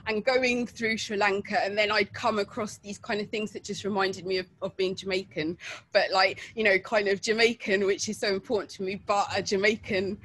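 A woman's voice: medium pitch, fairly even intonation, moderate pace, a bit bright. A middle-aged woman from England telling her story in a casual, chatty way, with many fillers and laughs, over a webcam video call. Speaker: interviewee. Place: outdoor porch at night.

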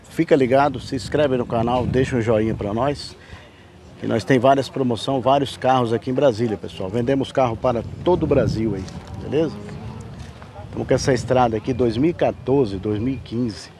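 A man from Brazil giving a folksy, chatty sales pitch while filming cars at an outdoor car fair on a handheld camera. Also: moderate pace, warm tone. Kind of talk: walkaround sales vlog. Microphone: handheld camera mic, filming.

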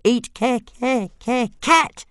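A very high, shrill little dragon for a storybook. It is greedy and demanding, stammering the same syllables over and over in loud bursts. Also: male voice, takes it slowly, clean tone, dry recording, clean studio sound, exaggerated voice. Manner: stuttering, repeats syllables insistently. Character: tiny greedy demanding baby dragon, hissing and shouting. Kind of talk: animated audiobook character voice.

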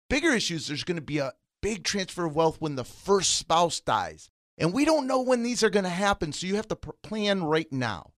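A man talks up retirement planning in an emphatic, persuasive way. His voice sits a little high for a man, and the studio sound is dry and close. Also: dry recording. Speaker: co-host, financial instructor. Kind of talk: radio show talk.

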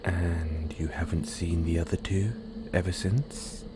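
A man playing a playful, menacing, possessive werewolf in an audio roleplay, teasing the listener in a breathy, half-whispered, predatory way. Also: sits low, fairly even intonation, measured pace, very noisy. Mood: teasing, sinister.

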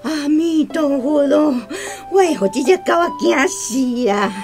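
A woman's voice: high voice, expressive, measured pace, over music. An actress playing a stubborn, anxious old grandmother in a radio drama, with a Taiwanese accent and a plaintive, complaining delivery. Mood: frightened, indignant. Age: older.

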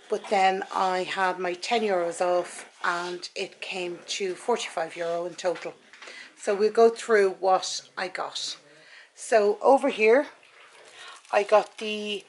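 A woman in her forties with an Irish accent, chatting casually and matter-of-factly as a vlogger. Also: medium pitch. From Ireland. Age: 40s. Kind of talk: casual chat about shopping. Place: home kitchen and inside a car. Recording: clicks and clear background noise.

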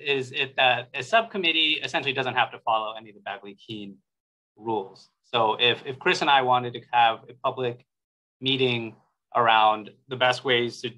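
Male board member, bright mid-range voice, loose and informal delivery, lo-fi online meeting sound. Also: medium pitch. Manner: casual, loose, halting. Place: indoors, on a video call. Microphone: video call. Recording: dull, lo-fi video-call audio.

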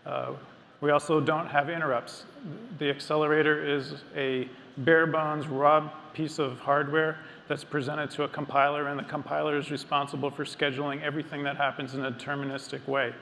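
Middle-aged male presenter, measured and explanatory, slightly high, flat delivery, in a reverberant hall. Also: slight background noise. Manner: measured, explanatory, with frequent ums and restarts. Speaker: engineering lead presenting on stage. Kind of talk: technical keynote talk. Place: large dark stage before a seated audience. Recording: long hall reverb, faint ambience.

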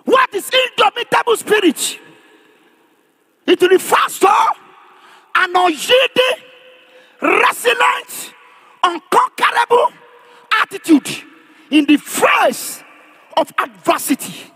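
A man preaching in an emphatic, declamatory charismatic sermon style, often rising to a shout and repeating phrases for emphasis. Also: very high-pitched, animated, slow, long pauses. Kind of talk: charismatic sermon with call and response.

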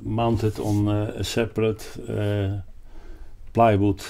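Slow, gravelly older Dutch man. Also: fairly low pitch, little pitch movement, background noise. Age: 60s or older. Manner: halting, searching for words. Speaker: loudspeaker designer presenting his product. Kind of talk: unscripted explanatory product talk. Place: carpeted, wood-panelled listening room indoors.

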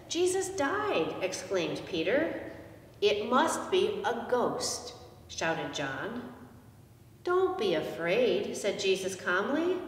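A middle-aged woman pastor telling a story to children in simple, animated language, with questions to her listeners. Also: high voice. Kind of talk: children's message, storytelling from a picture book. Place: indoors in a church, seated before the altar. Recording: echoey church, distant microphone, noisy background.

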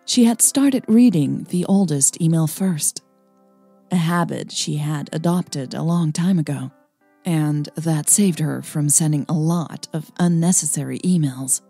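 A woman narrating her own romantic story in a calm, even reading style. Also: deep voice, unhurried, vocal fry, slightly rough, soft background music. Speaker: podcast host and storyteller.

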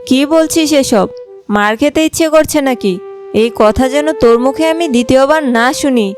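A woman acts out a village mother in a cartoon fairy tale. She has a high voice, caring and quick to scold, with music behind. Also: darkish tone, lightly acted. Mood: hurt, anxious. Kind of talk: animated fairy tale voice acting.

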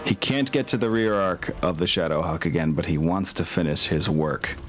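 Deep-voiced man running a tabletop game. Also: moderate pace. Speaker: game master. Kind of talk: casual tabletop game talk. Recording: music playing behind the voice. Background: background music.

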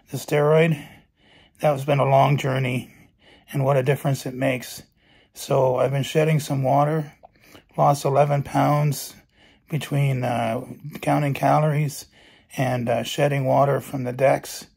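A middle-aged man with a hoarse, gravelly voice gives a calm, matter-of-fact personal update into a phone camera in his bedroom. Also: medium pitch. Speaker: vlogger. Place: bedroom at night, indoors. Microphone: phone camera held close.

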